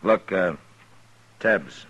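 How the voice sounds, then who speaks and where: A calm, steady Old West marshal in radio-drama style. His voice is deep and rough. He speaks with understated firmness and never hurries. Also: lo-fi sound, heavily acted. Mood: firm. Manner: unhurried, understated, firm. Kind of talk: 1950s radio western acting.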